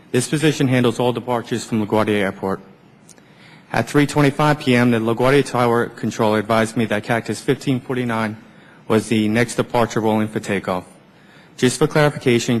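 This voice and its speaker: A man reading a prepared statement in a measured, formal way, as an air traffic controller giving testimony at a hearing. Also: mid-range voice. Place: large indoor government hearing room. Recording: echoey hearing room with long reverb.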